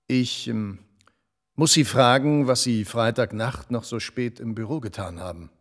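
An actor playing a smooth, condescending senior EU official lecturing a junior. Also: male voice, medium pitch, unhurried, fairly bright tone. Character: smooth, scheming male EU director-general. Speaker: boss. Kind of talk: TV drama acting.

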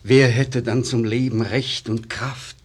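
A passionate young-hearted disciple in an old radio verse drama. He declaims with fervent grief, slow and weighty, in a husky voice. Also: mid-range voice, steady tone. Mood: anguished denial. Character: passionate, grieving male disciple of a dying master.

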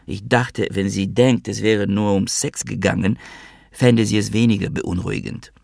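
A man's voice: fairly low pitch, fairly even intonation, normal speed, vocal fry, a little mellow, no echo, clean studio sound. A man voicing a sentimental old father, plaintive and tender, helplessly defending himself. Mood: tender, sheepish.

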